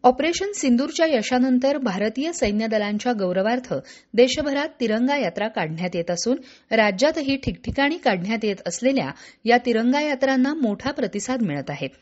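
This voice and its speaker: A woman's voice: fairly high pitch, expressive, normal speed, a little mellow. A woman reading scripted news as a newsreader. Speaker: news reader. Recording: dull lo-fi radio audio.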